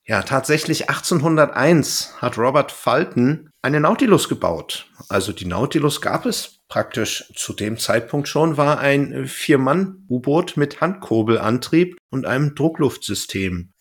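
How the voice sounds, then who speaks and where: A man's voice: medium pitch, lively intonation, measured pace, few pauses, husky. A man chatting casually as a podcast co-host.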